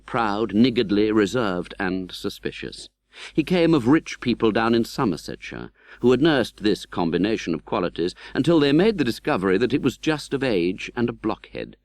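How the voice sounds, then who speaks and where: A man reading a classic novel as an audiobook, measured and precise. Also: lowish voice, no echo, clean studio sound. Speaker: audiobook narrator. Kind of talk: scripted literary narration of a classic novel.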